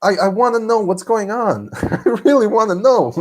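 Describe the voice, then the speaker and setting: A young man from Serbia with a Serbian accent, telling stories in a casual solo vlog, restarting often and swinging his pitch up and down. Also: a little slow. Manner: animated, frequent restarts and laughs, pitch swinging widely. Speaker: vlogger host. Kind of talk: casual monologue storytelling.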